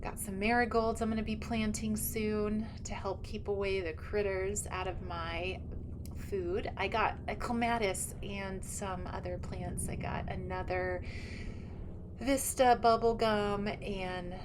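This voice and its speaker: A woman's voice: mid-range voice, steady tone, takes it slowly, noisy background. A woman giving a casual, enthusiastic garden tour outdoors, rambling and thinking aloud as a vlogger does. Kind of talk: casual chatty walk-around narration.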